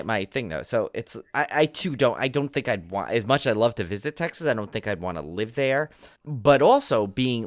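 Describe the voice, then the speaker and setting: A man's voice: lowish voice, dry recording, clean studio sound. A man with a New York accent co-hosting a podcast in casual chat, rambling and laughing often. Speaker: podcast co-host.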